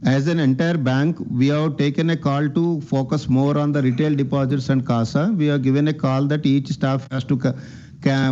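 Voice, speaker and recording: A man's voice: mid-range voice, mellow. An Indian man speaking as a bank executive on an earnings call, deferential and repeating himself as he answers. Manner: deferential, repeats himself, often says "sir". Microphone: conference call line. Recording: dull, band-limited conference call line.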